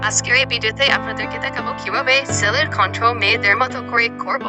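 A man's voice: extremely high pitch, fairly even intonation, fast, voice pushed up. A man voicing a woman through a voice changer app filter in a short narrated demo. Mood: plain demo. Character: woman's voice made from a man's recording. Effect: male-to-female voice changer app filter. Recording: app-processed playback under loud music. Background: loud instrumental music.

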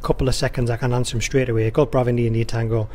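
A man's voice: mid-range voice, flat delivery, rapid-fire, warm tone. Man explaining procedures over room noise. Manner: conversational, explanatory, with rattled-off radio phraseology. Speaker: air traffic controller giving a demonstration. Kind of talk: informal instructional walkthrough. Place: indoor control room or workstation, beside a touchscreen.

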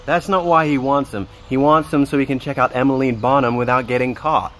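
A man's voice: medium pitch, steady tone, a little fast, a bit bright, lightly acted. A man with an American accent playing a brash, foul-mouthed, hot-headed teenage boy in an audio drama. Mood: mocking. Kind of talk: naturalistic audio drama dialogue. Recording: noisy outdoor ambience behind.

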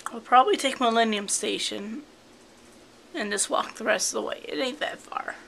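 A woman's voice: on the high side, creaky, a little hiss or hum. A young woman with a cold, chatting casually into a handheld camera in her bedroom. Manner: soft, slow and chatty, cooing at her cats. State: has a cold. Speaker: vlogger. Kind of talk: casual home video chat. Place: bedroom at home. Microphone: handheld camera close up.

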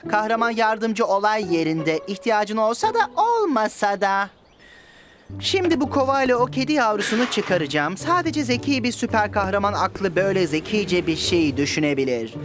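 A woman voicing a boastful, clumsy, excitable crow in a children's cartoon, talking pompously like a theatrical superhero. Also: medium pitch, normal speed, lightly acted. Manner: pompous self-narration in third person, theatrical.

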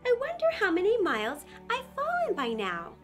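Woman voicing a high, animated, curious little girl. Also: normal speed, soft background music, full character voice. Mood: pondering. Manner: musing aloud to herself, earnest. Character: curious, chatty little girl tumbling into wonder. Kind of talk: children's storybook read-aloud.

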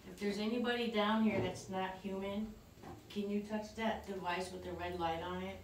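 A woman's voice: mid-range voice, steady tone, normal speed, a little hiss or hum. A middle-aged woman chatting nervously as she vlogs a ghost hunt, swearing casually, filmed in a large old cellar. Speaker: paranormal vlogger. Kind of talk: casual ghost-hunting vlog. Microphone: camera on a tripod, then handheld. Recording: echoey basement from far off.

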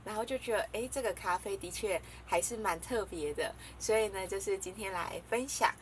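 A woman's voice: mid-range voice, normal speed, slight background noise. A young woman casually chatting to the camera while reviewing coffee, talking in a hesitant way with many fillers and giggles. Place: small room indoors.

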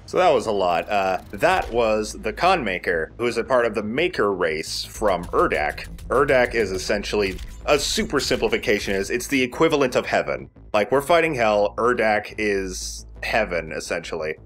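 A man's voice: on the low side, very animated, moderate pace, few pauses, a bit bright, faint music behind. A man giving casual, explanatory game commentary with rambling asides. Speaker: let's play commentator.

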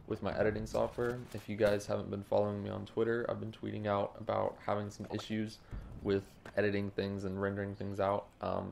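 Hoarse young man, sick and gravelly. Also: lowish voice, a little mellow, slight background noise. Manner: rambling, apologetic, many ums. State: sick with a sore throat. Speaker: gaming YouTuber. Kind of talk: casual voice-over commentary over gameplay. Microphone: home desk mic with a cough drop.

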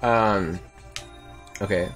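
A man's voice: deep voice, steady tone, very slow. A man giving casual, rambling and profane gaming commentary as he plays. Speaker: let's play host, playing the game. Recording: game music behind the voice. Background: video game music.

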